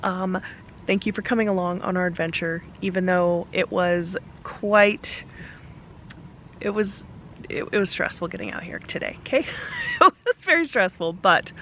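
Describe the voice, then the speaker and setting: A woman vlogging at a campground in casual chat, hoarse from a cough that is taking her voice, laughing often and filling her talk with "like" and "um". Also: lowish voice, moderate pace, fairly bright tone, slight background noise. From Alaska. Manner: chatty, laughs often, lots of fillers. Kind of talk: casual vlog talk to camera. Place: outdoors at a campground.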